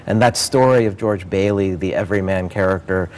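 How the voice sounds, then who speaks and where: A man with a fairly low voice talks as a theater director being interviewed. He sounds earnest and enthusiastic, explaining his work in a conversational, promotional way. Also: fairly quick, darkish tone. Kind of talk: promotional interview about a stage production.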